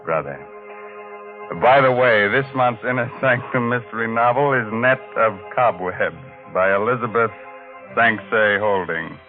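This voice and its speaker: A man's voice: fairly low pitch, measured pace, natural voice. A man playing a ghoulish, wisecracking host of horror tales in a mock-sinister, teasing 1940s radio style. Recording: muffled vintage radio broadcast, music behind.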